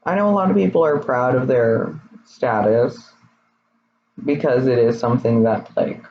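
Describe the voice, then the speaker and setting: A young man in his twenties, his voice a little raspy, talking candidly and hesitantly in a personal vlog. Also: medium pitch, moderate pace. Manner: candid, rambling, hesitant with many fillers. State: slightly raspy voice. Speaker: vlogger. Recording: slightly dull, faint noise.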